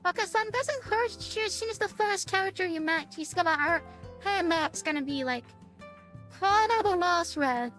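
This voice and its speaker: A woman with a very high, bright voice is streaming a visual novel. She switches between reading the text aloud and casual commentary, often stumbling and loosely swearing. Music plays softly behind her. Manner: halting, stumbling over words when reading and commenting.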